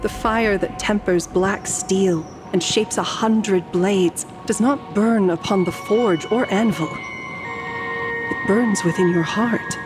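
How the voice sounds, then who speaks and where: A woman voicing a serene, millennium-old painter and guardian in a video game, calm, formal and lyrical. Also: mid-range voice, takes it slowly, natural voice. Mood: tender, solemn. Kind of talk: poetic scripted game dialogue. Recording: music under the voice.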